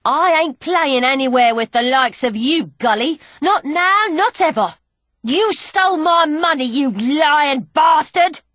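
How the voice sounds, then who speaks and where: A fierce, scrappy young woman with a high, ringing voice, as a woman reads her in a storybook. She snaps back in sharp, heated bursts, close and dry. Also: lively intonation, drawn-out words, few pauses, dry recording, heavily acted. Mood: furious.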